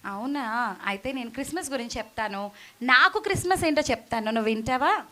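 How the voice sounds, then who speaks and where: A woman voicing a sensible, gentle child puppet who questions and patiently teaches a friend in a children's church puppet show. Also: fairly high pitch, expressive, a little fast. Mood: earnest, gentle.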